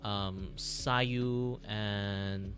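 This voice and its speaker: A man who speaks English as a second language, chatting casually on a livestream in a halting, repetitive way. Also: on the low side, faint music behind. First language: not English. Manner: halting, repetitive, animated reactions. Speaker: streamer and animator.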